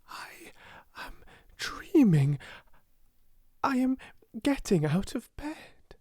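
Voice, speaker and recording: A woman's voice: a little high, big pitch swings, extremely slow, darkish tone, slightly rough, dry recording, studio quality, pitched above the performer's own voice, exaggerated voice. A woman voicing a young storybook girl, breathless and overjoyed with wonder, in panting, half-whispered exclamations. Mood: hushed wonder. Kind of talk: storybook character voice in audiobook reading.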